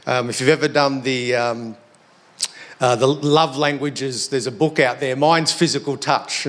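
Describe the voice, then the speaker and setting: An Australian man giving a sermon in a conversational, reflective way, his voice a little hoarse and croaky. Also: medium pitch, fairly bright tone. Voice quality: slightly croaky. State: slightly hoarse. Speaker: preacher. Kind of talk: sermon to a congregation.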